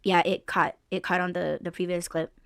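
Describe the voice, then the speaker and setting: Loud, laughing woman podcast host. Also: little pitch movement, normal speed, gravelly, clear, resonant tone, dry recording, studio quality. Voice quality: lacks bass in her voice. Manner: loud, laughs often, swears freely. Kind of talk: casual chat.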